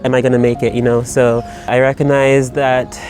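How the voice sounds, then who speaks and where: A man of ordinary pitch talks in a loose, reflective monologue, circling his thoughts with frequent fillers and restarts. Faint music sits underneath. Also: flat delivery, normal speed, a little mellow. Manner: rambling, reflective, many fillers. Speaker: writer. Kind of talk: personal video monologue. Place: Berlin.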